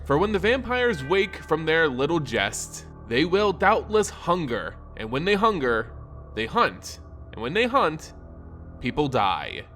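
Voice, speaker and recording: A man narrates dark fantasy fiction in a slightly high voice that rises and falls widely. His delivery is solemn and theatrical, with deliberately placed phrases. Faint music runs behind him. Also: big pitch swings. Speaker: story narrator. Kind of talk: dramatic scripted fantasy narration.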